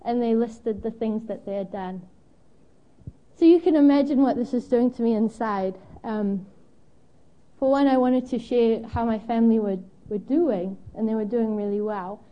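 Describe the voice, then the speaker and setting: A woman's voice: a little high, moderate pace, highs cut off. A young woman from Zimbabwe preaching a candid, storytelling sermon at a church, with frequent ums and self-deprecating asides. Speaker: guest preacher.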